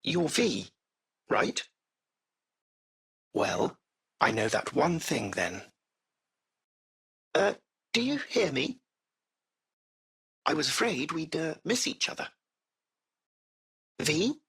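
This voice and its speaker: Husky, anxious, formal AI chauffeur. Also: male voice, fairly high pitch, big pitch swings, takes it slowly, long pauses, a bit bright, full character voice. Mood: nervous. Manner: formal, courteous butler-like phrasing, hesitant. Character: polite artificial-intelligence car driver, anxious and formal. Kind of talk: acted video game dialogue.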